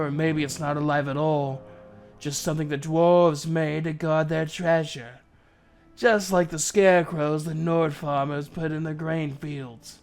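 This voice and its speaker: Man playing a bold, cool-headed dark elf adventurer. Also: a little high. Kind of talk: storybook character dialogue.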